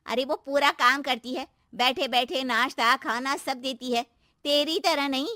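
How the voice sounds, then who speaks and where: Woman acting sharp-tongued older aunt, raised pitch. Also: fairly even intonation, measured pace, no room sound, clean studio sound. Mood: indignant, mocking. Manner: scolding, mocking. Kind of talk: family moral-story acting.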